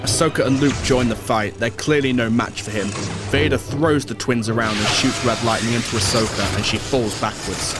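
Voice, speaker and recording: A man's voice: mid-range voice, moderate pace. Man narrating an audio drama over music.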